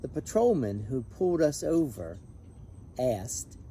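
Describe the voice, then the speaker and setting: Man, mid-pitched, mellow voice, very lively intonation, storybook read-aloud, faint background noise. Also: slow pace. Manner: animated, emphatic on repeated refrain. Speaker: pastor reading a children's picture book. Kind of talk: expressive storybook read-aloud with brief asides.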